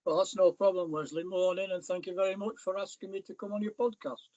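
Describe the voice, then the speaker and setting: An older British man talking in a slightly high voice. He tells his stories plainly, with a dry wit, as a podcast guest. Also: fairly even intonation, fast talker, a little mellow, highs cut off. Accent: British English. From Britain. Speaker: guest, falconer and author. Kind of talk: casual interview talk.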